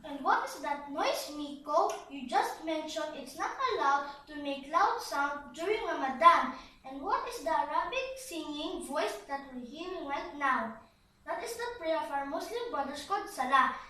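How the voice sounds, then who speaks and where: Brief: woman as eager young puppet boy, piercingly high, earnest recited lines, very echoey room. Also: animated, moderate pace, bright, forceful tone. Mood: earnest, explaining. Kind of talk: scripted puppet show dialogue. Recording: roomy echo, slightly distant mic.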